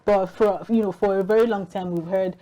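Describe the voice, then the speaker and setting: Mellow-voiced Nigerian woman hosting morning news. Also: medium pitch, fairly even intonation, fairly quick. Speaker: TV morning show host. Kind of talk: news reading and interviewing.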